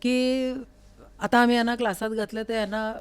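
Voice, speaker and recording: Female interview host, casual and conversational, quick to affirm what is said, in a studio setting. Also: on the high side, flat delivery, clean tone. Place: recording studio.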